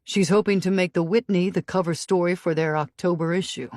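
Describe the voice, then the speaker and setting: A woman's voice: on the low side, fairly even intonation, fairly quick, darkish tone, no room sound, clean studio sound, lightly acted. A woman narrator voicing a cool, controlled male billionaire with a chilly, composed voice. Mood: cool, smooth.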